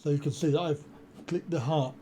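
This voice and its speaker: A man presenting a software tutorial in an unhurried, explanatory way, filling pauses with ums and uhs. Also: fairly high pitch, fairly even intonation, slightly rough. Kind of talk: screen-recorded software walkthrough. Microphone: desk USB microphone.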